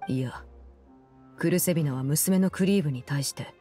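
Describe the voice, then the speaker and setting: An actress playing a cold, composed game villainess, telling a story in a measured, solemn and restrained way. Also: female voice, low-pitched, warm tone, faint music behind, lightly acted. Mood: somber, restrained. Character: cold, composed woman, Fatui Harbinger who runs an orphanage. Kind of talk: scripted game story narration.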